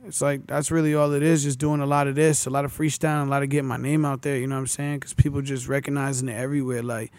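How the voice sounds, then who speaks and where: A man's voice: medium pitch, little pitch movement, fast, few pauses, darkish tone, no room sound. A man in his twenties, a rapper being interviewed, talking in laid-back street slang and filling his talk with casual asides. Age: 20s. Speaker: rapper guest.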